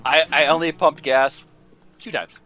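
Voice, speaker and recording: Dude joking around, bright kinda high voice, music behind him. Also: male voice, steady tone, measured pace. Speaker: voice actor in a live table read. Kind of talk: casual joking chat. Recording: music playing behind the chat.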